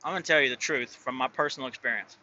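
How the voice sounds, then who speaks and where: A man's voice: mid-range voice, rapid-fire, bright, forceful tone, dull audio, slight background noise. A man who drives his own truck, talking casually and rambling, full of fillers and restarts. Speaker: truck driver and owner operator. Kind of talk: casual vlog talk.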